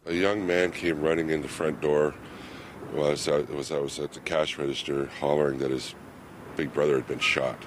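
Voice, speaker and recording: A man's voice: a bass voice, little pitch movement, normal speed. A man giving a spontaneous eyewitness account in a news interview. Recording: noisy urban street with traffic.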